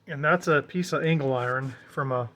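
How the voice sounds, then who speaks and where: A man's voice: mid-range voice, a little fast, a bit bright, a little hiss or hum. An American man talking casually and off the cuff as he shows a homemade project, in a folksy, self-deprecating way. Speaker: DIY vlogger.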